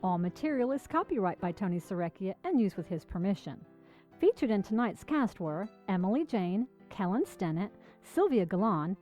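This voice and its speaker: A woman's voice: medium pitch, a little mellow. A woman reading scripted credits as an announcer for an audio drama. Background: music.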